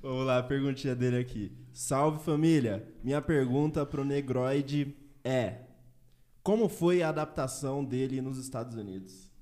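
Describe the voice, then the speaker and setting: A man hosting a podcast, reading listener questions aloud in a relaxed, casual way. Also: mid-range voice. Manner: unhurried, reads questions aloud. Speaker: podcast host.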